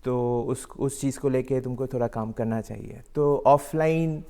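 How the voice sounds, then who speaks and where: A man's voice: mid-range voice, steady tone, a little slow, creaky, mellow. A man raised in Delhi, answering interview questions in a hesitant way, with many fillers and English phrases mixed in. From Delhi, India. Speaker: guest artist.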